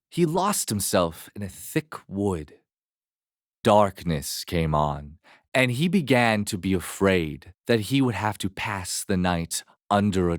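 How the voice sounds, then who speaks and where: A man narrating a scripted fairy tale as a storyteller, measured, expressive and dramatic. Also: fairly low pitch, no room sound, studio quality.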